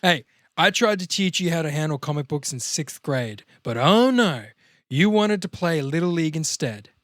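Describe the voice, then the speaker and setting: A man's voice: on the high side, animated, normal speed, a bit bright, no room sound, clean studio sound. Man reading as a sarcastic comic-book slacker. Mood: mock-grand sarcasm. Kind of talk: first-time script table read.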